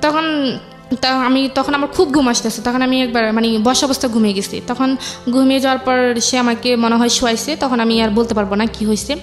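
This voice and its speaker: Young woman, Bangladeshi accent, slightly high pitch. Interview guest, halting conversational storytelling over light music. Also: steady tone. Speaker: interview guest telling her life story.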